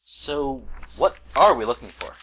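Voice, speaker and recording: A woman's voice: rumbling low, expressive, measured pace, a bit bright, a little hiss or hum, full character voice, rough recording. A woman playing a matter-of-fact investigator's companion in an amateur radio play. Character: matter-of-fact detective's companion with sharp memory.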